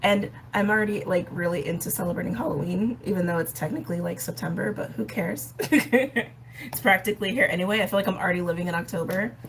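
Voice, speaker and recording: A woman with a raspy, hoarse voice that sits fairly low explains astrology in a relaxed, chatty way. She talks to her viewers directly and fills her pauses with ums. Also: slight background noise, rough recording. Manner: chatty, with frequent ums and light laughs. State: hoarse, recovering from a respiratory illness. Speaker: astrology and tarot channel host. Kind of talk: casual explanatory astrology talk.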